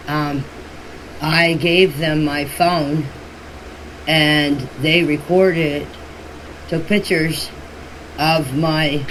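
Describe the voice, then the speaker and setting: A plain-spoken woman recounting events in a casual voice message, in halting and repetitive phrasing. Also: sits low. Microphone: phone voice message. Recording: noisy voice clip, steady background hiss.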